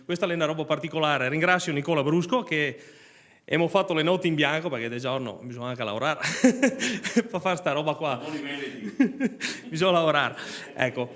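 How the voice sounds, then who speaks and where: A man's voice: on the high side, moderate pace, a bit bright. A man with a Venetian accent giving an informal presentation, chatty and given to digressions. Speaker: cartoon creator presenting his project.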